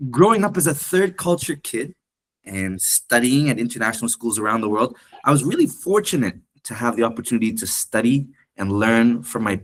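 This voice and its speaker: A man from Canada and Thailand, a program director, giving an online seminar presentation in a polite, earnest, measured way. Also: mid-range voice.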